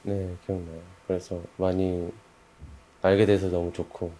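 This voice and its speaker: Very deep, mellow-voiced man. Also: little pitch movement, unhurried. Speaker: project team member being interviewed. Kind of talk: casual group discussion.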